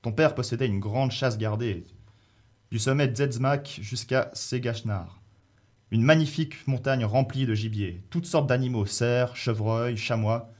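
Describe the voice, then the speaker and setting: A man's voice: medium pitch, measured pace, darkish tone, lightly acted. A man voicing a poor, angry old village woman in a folk tale, scolding in a declamatory way.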